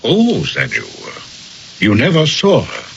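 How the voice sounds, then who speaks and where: An actor playing a skeptical, patronizing psychiatrist in a radio drama, questioning pointedly with measured emphasis. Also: male voice, fairly high pitch, wildly swooping pitch, vocal fry, exaggerated voice. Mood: probing. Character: skeptical, probing male psychiatrist, patronizing but patient. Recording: dull, hissy old radio recording.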